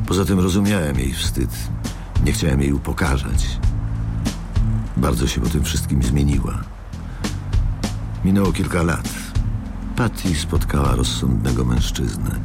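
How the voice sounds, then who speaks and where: An embittered older man in a radio drama, his voice extremely low and gravelly. He speaks with deliberate, menacing contempt, as a grandfather bent on revenge. Music plays clearly beneath him. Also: exaggerated voice. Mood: grim, recounting. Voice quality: very deep, gravelly. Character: embittered, vengeful grandfather confronting his daughter's abuser. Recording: music under the voice.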